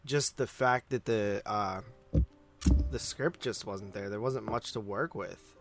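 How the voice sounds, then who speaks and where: A man chatting informally and haltingly as co-host of a movie podcast. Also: lowish voice, faint music behind. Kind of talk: casual chat reviewing films.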